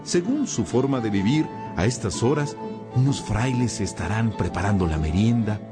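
A man's voice: lowish voice, expressive, moderate pace. A man reading scripted narration that introduces a religious radio drama. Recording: prominent music under the voice. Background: music.

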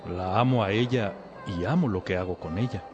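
An actor playing an obedient young novice, earnest and respectful, in a religious radio drama. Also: male voice, fairly low pitch, a little fast, highs cut off, over music, close to an everyday voice. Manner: respectful, deferential.

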